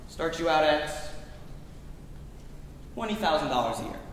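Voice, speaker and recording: A man's voice: fairly high pitch, expressive, measured pace. A man playing a crafty, domineering rich old businessman in a live radio play, smug and cajoling. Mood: smug persuasion. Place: on stage in a school auditorium. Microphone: stage mic, recorded from far camera. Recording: distant, echoey auditorium hall, faint noise.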